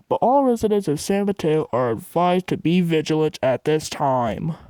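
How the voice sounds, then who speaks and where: A woman's synthetic voice reading an emergency alert in an even, official tone. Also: low-pitched, no echo.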